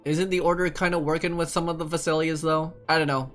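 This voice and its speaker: A man's voice: a little high, little pitch movement, quick pace, a bit bright. A young man giving casual, slangy playthrough commentary as a game streamer, rambling and thinking aloud. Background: game music.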